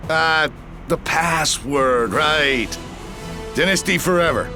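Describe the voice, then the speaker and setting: A man's voice: a little high, takes it slowly. A man playing a wry, confident army major in a sci-fi game, speaking in a dry, informal way. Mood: guarded, dry. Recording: music behind the voice.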